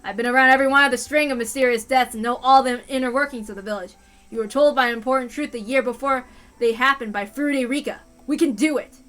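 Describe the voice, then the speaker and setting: A woman voicing a steady, determined male police detective in a story reading, speaking gravely and resolutely. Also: high-pitched, fast talker. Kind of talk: dramatic reading of a visual novel.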